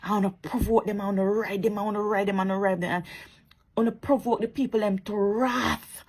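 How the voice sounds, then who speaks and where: A woman's voice: mid-range voice, steady tone, a little fast, darkish tone, slightly rough. A woman with a Jamaican accent giving impassioned, preachy commentary to her social media viewers, repeating her points and turning sarcastic.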